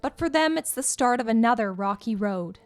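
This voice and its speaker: Higher-voiced woman news reporter. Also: animated, normal speed. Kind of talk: scripted news narration. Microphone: voice-over.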